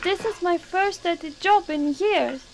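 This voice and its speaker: A woman's voice: very high voice, a little slow. A woman playing an anxious, apologetic young kitchen worker in a radio drama, nervous and pleading. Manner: nervous, pleading, then earnest reading aloud.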